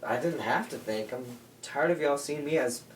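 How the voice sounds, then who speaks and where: A man's voice: on the high side, normal speed, natural voice. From a little off the mic in an echoey, noisy recording comes an earnest teenage brother played by a man. He is defensive and stubborn, a young would-be soldier in a radio drama. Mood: defiant. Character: earnest, defiant teenage brother enlisting in the army. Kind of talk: holiday radio play acting. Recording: echoey room, distant mic, noisy background.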